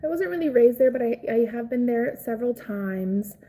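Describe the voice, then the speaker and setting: A woman's voice: a little high, normal speed. Chatty young woman on a live stream. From Cuba, raised in Florida. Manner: chatty, excitable, greets viewers by name. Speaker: livestream host. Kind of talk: casual live-stream chat with viewers.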